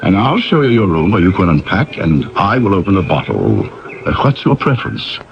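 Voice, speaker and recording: Urbane, domineering husband, low creaky voice. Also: male voice, sing-song, a little fast, a little hiss or hum, exaggerated voice. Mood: jovial host. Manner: urbane, wordy, theatrical. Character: jovial, domineering husband and father, a famous writer. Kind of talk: 1970s radio drama acting. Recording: dull 1970s radio broadcast recording.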